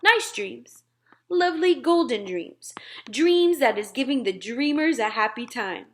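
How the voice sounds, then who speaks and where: A woman reading aloud puts on a gentle storybook giant, a dreamy old fellow who guards his secrets. He talks in muddled grammar full of whimsical invented words, leaning heavily on them. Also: high voice, expressive, unhurried, voice pushed up. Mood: confiding. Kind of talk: children's storybook read-aloud.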